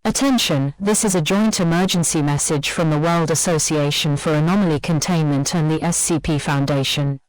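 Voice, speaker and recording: Woman playing an emergency broadcast announcer, low voice, dry sound, measured, impersonal, formal. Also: no room sound, natural voice, low-quality recording. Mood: grave, official. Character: grave, official female emergency alert announcer.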